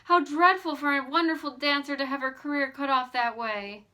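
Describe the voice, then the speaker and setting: A woman voicing a soft-hearted, excitable teenage girl in an audiobook, gushing and quick to exclaim. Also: high-pitched, pitched above the performer's own voice. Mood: sympathetic. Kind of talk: audiobook character voice.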